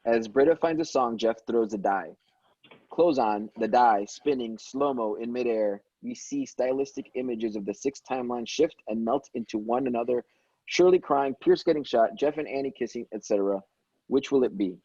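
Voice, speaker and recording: Mellow, muffled man reading stage directions. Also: mid-range voice, moderate pace, slightly rough, no echo. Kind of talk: scripted narration, screenplay read aloud. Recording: dull lo-fi audio.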